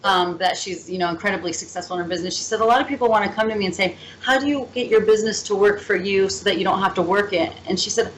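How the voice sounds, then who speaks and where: A woman speaks as a guest on an interview show, talkative and upbeat as she tells stories. She talks at a brisk clip, with faint background noise under the recording. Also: mid-range voice, poor audio. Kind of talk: casual interview chat.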